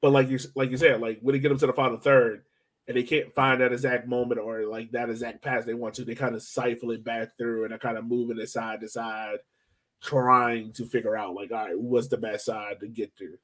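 Male sports podcast co-host, medium pitch, informal fan talk with casual slang. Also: little pitch movement, a little fast, fairly bright tone.

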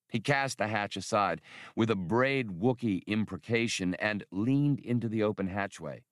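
Male narrator, fairly deep, reading science fiction adventure prose, close dry sound with no room. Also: no room sound. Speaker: audiobook narrator.

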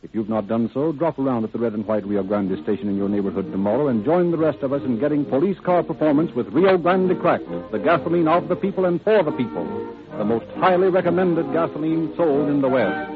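A man's voice: on the high side, animated, fairly quick, over music. A man announcing and narrating a scripted 1930s radio program in a formal, salesmanlike manner. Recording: tinny 1930s radio recording.

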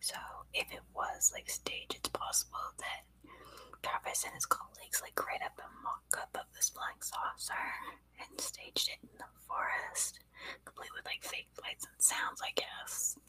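Woman, breathy ASMR whisper, bright and crisp-edged, unhurried, informal true crime narration, podcast host. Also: poor audio. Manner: slow, hushed, informal storytelling.

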